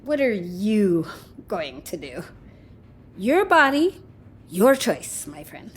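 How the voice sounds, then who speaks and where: A woman's voice: mid-range voice, very animated, slow, breathy edge, heavily acted. A woman acting as a flippant, unapologetic partner in an audio drama, casual and wry with mock sympathy. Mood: mock-sympathetic.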